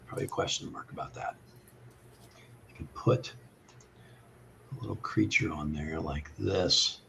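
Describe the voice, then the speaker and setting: Halting low-voiced man running a game. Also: gravelly, a bit bright, a little hiss or hum. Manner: halting, thinking aloud. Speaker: game master. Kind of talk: improvised tabletop game narration. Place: online group call.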